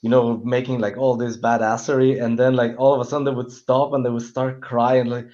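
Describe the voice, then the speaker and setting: A man talking casually and giving opinions as a film panellist, halting and searching for words. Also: mid-range voice, flat delivery, mellow. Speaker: panellist on a film talk show. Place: online call with screen sharing. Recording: dull, lo-fi call audio.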